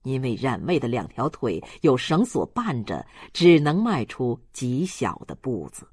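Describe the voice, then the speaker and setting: Husky, expressive audiobook narrator. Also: mid-range voice, measured pace. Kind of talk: scripted literary narration.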